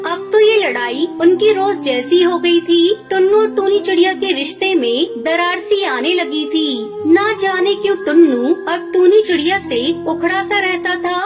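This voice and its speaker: A woman narrating a children's cartoon story in a clear, measured way, with music behind. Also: very high voice, expressive.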